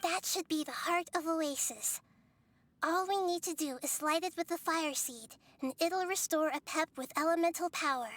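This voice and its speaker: A woman voicing a gentle, childlike little goddess in a video game, speaking softly in a measured, wise and composed way. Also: very high voice. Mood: thoughtful, explanatory. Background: music.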